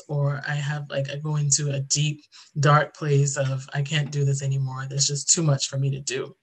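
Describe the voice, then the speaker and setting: A woman, telling her personal story earnestly as an interview guest. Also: deep voice. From Ghana. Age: 30s.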